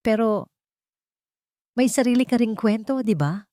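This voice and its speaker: A woman's voice: a little high, a little slow, dark tone, no echo, clean studio sound, pitched above the performer's own voice, lightly acted. A woman voicing a frightened, wounded young woman confiding her troubles in anxious, pleading audiobook dialogue. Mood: gently curious.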